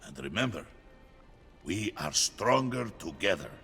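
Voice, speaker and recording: Hearty giant warrior, animated, gravelly, slow, kindly, jovial, fantasy game, faint music behind. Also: male voice, deep voice, exaggerated voice. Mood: caring, reassuring. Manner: jovial, kindly, speaks grandly. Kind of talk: cinematic fantasy game acting.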